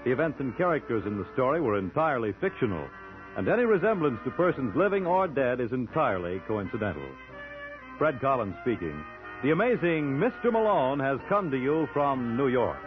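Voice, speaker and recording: A man's voice: medium pitch, big pitch swings, a little fast. American guy old radio announcer, big swingy delivery, phone-thin sound. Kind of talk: old-time radio announcing, scripted credits. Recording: tinny old radio broadcast with music.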